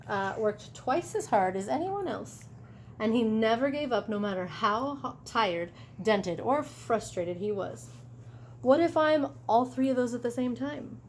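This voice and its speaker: A woman teacher reading a picture book aloud to children, chatty and animated, with casual asides about the pictures. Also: a little high, a little slow, slight background noise.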